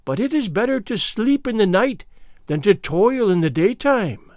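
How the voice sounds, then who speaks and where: A man voicing a cruel old woman who speaks in a piping, drawn-out, mocking manner with archaic phrasing. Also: very high voice, huge pitch swings, a bit bright, dry recording, clean studio sound, pitched above the performer's own voice, heavily acted. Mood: mocking comfort.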